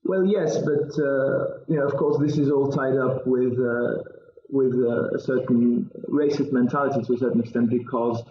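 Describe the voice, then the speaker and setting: A man from Belgium, a panel guest speaking over a video call in a measured, hesitant way with many fillers. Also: medium pitch, fairly even intonation. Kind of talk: remote panel discussion. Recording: roomy, dull audio.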